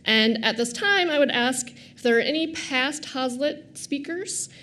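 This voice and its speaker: A woman's voice: sits high, lively intonation, a little slow, bright, forceful tone, a little hiss or hum. A woman faculty host making announcements before a lecture in a lecture hall, with frequent fillers. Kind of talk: event announcements.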